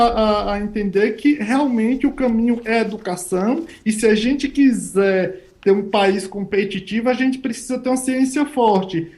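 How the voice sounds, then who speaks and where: A man's voice: high-pitched, a little mellow. Guest scientist, male, 30s, Northeastern Brazilian accent, plain-spoken and emphatic. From Bahia backlands, Brazil. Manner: plain-spoken, emphatic, talks in short stacked phrases. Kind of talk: interview answers.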